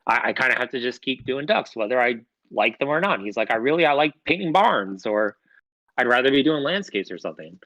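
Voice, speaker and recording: A man's voice: medium pitch, lively intonation, fairly quick, sharp tone, dry recording. A man, a podcast host, telling a rambling anecdote in casual chat, swearing freely.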